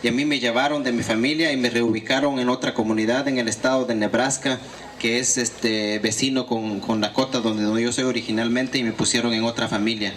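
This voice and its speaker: A man's voice: medium pitch, fairly even intonation, a little fast, a bit bright. A male interpreter renders each remark fluently and steadily after the speaker. Light background noise comes through at an outdoor event. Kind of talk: consecutive interpreting. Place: outdoor gathering.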